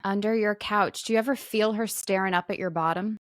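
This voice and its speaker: A woman's voice: mid-range voice, steady tone, a little fast, dry recording, clean studio sound. A woman co-hosting a podcast in casual comedic conversation, with a playful, wry way of talking. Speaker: podcast co-host.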